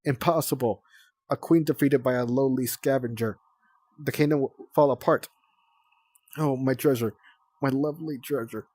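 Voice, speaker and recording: A man voicing a small young female dragon hammily playing a grand, loud queen. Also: medium pitch, fairly even intonation, moderate pace, long pauses, dry recording, natural voice. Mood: overwrought.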